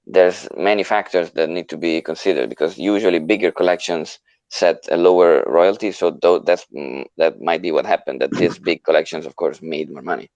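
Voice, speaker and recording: A man with a low voice moderates a casual online panel discussion. He speaks in an encouraging, collaborative manner, and the sound is close and dry with very little room. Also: no echo, poor audio. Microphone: online audio chat, close mic.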